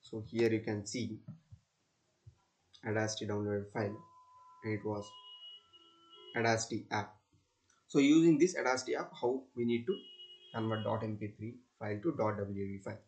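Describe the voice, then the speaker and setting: A man narrates a step-by-step software tutorial as a student presenter, in halting, repetitive instructional phrases. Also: on the low side.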